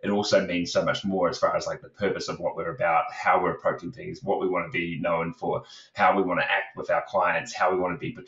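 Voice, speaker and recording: Man, very low voice, rambling with fillers, echoey room, far from the mic, muffled call audio. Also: level tone, brisk, a bit bright. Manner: rambling, many fillers and restarts. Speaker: recruitment company co-founder, guest. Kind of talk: casual podcast interview talk. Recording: roomy, distant, dull video call audio.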